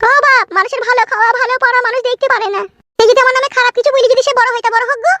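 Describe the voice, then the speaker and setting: A woman's voice: extremely high pitch, fairly even intonation, racing, bright, forceful tone. A woman voicing an indignant, preachy village mother-in-law in a cartoon, with a scolding, insistent way of talking. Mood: lecturing. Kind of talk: Bengali cartoon acting.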